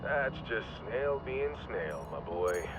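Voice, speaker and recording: An actor playing an easygoing senior squad pilot in a video game, wry, unhurried and avuncular. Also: male voice, on the low side, big pitch swings. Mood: wry, relaxed. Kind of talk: dramatic video game voice acting. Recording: noisy in-game radio chatter.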